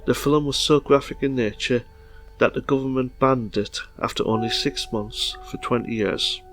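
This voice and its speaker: A man hosting a film review, talking plainly and informally in halting phrases, part scripted. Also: medium pitch, fairly even intonation, a bit bright. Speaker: vlog host. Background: music.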